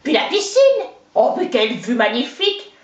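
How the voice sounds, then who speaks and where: A woman's voice: fairly high pitch, big pitch swings, moderate pace. A woman playing a chatty, boastful older countrywoman with a Franc-Comtois accent, rambling in a folksy way. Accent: Franc-Comtois (Haut-Doubs). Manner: folksy, rambling, peppered with "hein" and "oh ben". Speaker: interviewee. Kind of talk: comic character interview. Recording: roomy, dull lo-fi sound.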